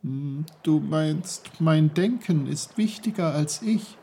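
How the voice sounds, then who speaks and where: A man's voice: a little high, slow, warm tone, husky, heavily acted. A man voicing a curious, puzzled young boy, in a storybook reading.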